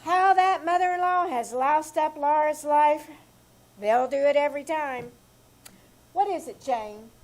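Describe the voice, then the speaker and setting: A woman playing a stubborn, sassy housemaid in a live reenactment of an old-time radio comedy, with a deadpan delivery. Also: sits high, measured pace. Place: small theater stage.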